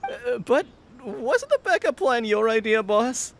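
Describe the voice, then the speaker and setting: An actor voicing a flustered, stammering young gang underling in a video game, excitable and cowardly. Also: male voice, very high voice, measured pace, full character voice. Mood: nervous, sheepish. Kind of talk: English video game dub acting.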